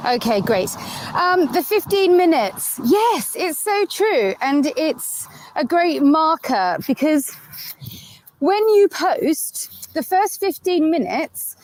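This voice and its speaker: A woman's voice: high voice, very animated, slow pace, a little mellow, poor audio. A woman outdoors on a video call, giving instructive tips and repeating key points for emphasis. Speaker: guest speaker.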